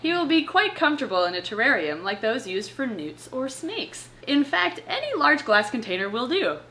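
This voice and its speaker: A young woman hosting in a casual, chatty way, with an expressive, playful manner. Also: high voice, normal speed. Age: young adult. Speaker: host of a reptile channel. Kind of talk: casual chatty presenting with read-aloud passages. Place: living room at home, on a couch. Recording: clear background room noise.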